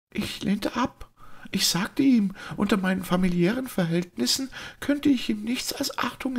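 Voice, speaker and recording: Brave young lady, put on by a male reader: high, trembling, clear, low in volume. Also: full character voice. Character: young noblewoman, brave, defiant daughter. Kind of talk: audiobook reading.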